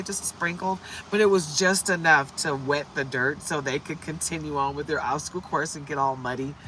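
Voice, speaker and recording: A hoarse woman from the United States doing a casual, upbeat tarot livestream and greeting viewers by name. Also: low voice, noisy background. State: hoarse from shouting. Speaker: tarot reader.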